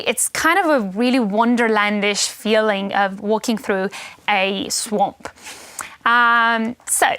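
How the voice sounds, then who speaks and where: A woman's voice: mid-range voice, fairly bright tone, smooth, dry recording. A native Estonian woman with an Estonian accent gives an informational webinar presentation in an upbeat, promotional manner. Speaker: education marketing presenter.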